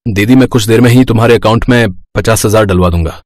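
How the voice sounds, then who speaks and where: A man's voice: fairly low pitch, quick pace, dry recording, studio quality, natural voice. A man voicing a helpful, protective younger brother, speaking earnestly in a moral story. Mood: reassuring. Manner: earnest, reassuring. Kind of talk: Hindi moral story voice acting.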